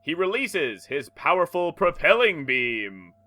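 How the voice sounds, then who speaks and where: Brief: a man reading a comic aloud, laughing as he goes, slow and bright-toned. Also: a little high, big pitch swings. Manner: laughing, playfully emphatic narration. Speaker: podcast co-host and narrator. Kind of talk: comic dramatic reading narration.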